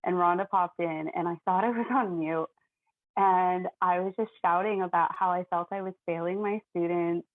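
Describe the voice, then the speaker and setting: A young American woman, a first grade teacher, speaking earnestly and chattily on a video call, with an ear infection that makes her talk loudly. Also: fairly low pitch, fairly even intonation, normal speed, no echo. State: has an ear infection, may sound loud. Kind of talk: online panel talk. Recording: dry, phone-like video call audio.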